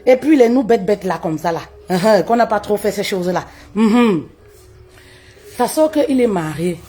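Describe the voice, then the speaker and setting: A woman, telling her own story live, her voice jumping high for emphasis and dropping low and quiet. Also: normal speed, husky. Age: 30s. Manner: expressive, swoops high for emphasis, drops low and quiet, laughs often. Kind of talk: animated personal storytelling. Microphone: phone on a live stream.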